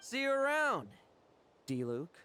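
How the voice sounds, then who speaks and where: A man's voice: extremely high pitch, wildly swooping pitch, takes it slowly, sharp tone, a little hiss or hum, full character voice. A man voicing a cocky, aloof monster hunter in a video game, brash, offhand and taunting. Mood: casual, dismissive. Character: cocky, aloof male monster hunter who scorns investigations.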